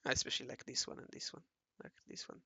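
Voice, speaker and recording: A man with a raspy voice talking casually to himself while he draws, mumbling and correcting himself as he goes. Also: quick pace, sharp tone, no echo. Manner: mumbling and self-correcting, often murmuring half to himself. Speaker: hobby artist narrating his drawing session. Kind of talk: casual think-aloud commentary while drawing.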